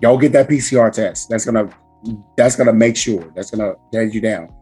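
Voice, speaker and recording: A man hosting a casual podcast chat with a hoarse, raspy voice from screaming, talking loudly and emphatically with lots of swearing. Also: lowish voice, brisk, soft background music. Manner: loud, profane, emphatic. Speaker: podcast host.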